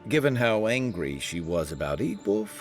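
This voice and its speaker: A man's voice: lowish voice, very animated, normal speed, soft background music. A man playing a wry, wary travelling companion in a fantasy video game, with dry, colloquial, sardonic delivery. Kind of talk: naturalistic game voice acting.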